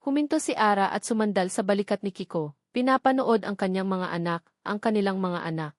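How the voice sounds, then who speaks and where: A Filipino woman tells a scripted romantic tale in an earnest, sentimental way. Her pitch sits in the middle range for a woman. The sound is dry and close, with no room around it. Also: fairly quick, no room sound. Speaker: storyteller. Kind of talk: scripted dramatic narration of a love story.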